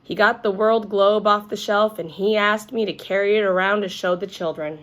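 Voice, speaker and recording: A woman storyteller narrating a children's book aloud in an expressive read-aloud style. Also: mid-range voice, normal speed.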